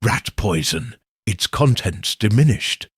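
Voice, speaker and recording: A man voicing a stern, suspicious police investigator with a sharp, grim manner in a mystery story. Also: on the low side, animated, slow, husky, no echo, studio quality, full character voice.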